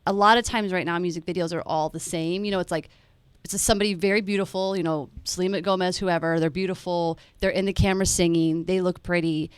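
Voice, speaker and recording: A woman director speaks with emphatic conviction, tossing off quick asides as she explains her craft. Her voice sits on the low side, recorded close and completely dry. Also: steady tone, clear, resonant tone, no echo. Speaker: film director. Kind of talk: conversational advice to teens.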